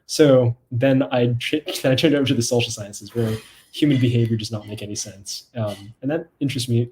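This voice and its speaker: A young man chatting casually on a video call panel, halting and often repeating his words. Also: medium pitch, darkish tone. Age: young adult. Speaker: documentary film subject on a Q&A panel. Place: small room at home, video call.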